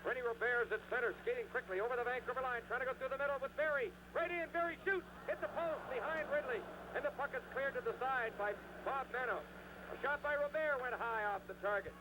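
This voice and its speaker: Male hockey play-by-play caller, high voice, clipped urgent delivery, noisy vintage radio sound. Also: fast. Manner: clipped, urgent running narration. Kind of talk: live radio sports commentary. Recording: noisy 1970s radio broadcast.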